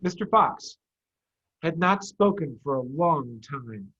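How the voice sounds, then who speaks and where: Animated man reading to children, muffled audio. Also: fairly high pitch, slow, slightly rough. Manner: animated, addressing children with simple questions. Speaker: head of school reading aloud to pupils. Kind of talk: children's storytime read-aloud. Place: office. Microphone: video call. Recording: dull, lo-fi video-call audio.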